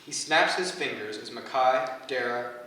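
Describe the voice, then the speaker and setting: A man's voice: medium pitch, animated, normal speed, pushed and bright. A man reading stage directions as the scripted narrator of a staged play reading. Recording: echoey hall, distant mic, background noise.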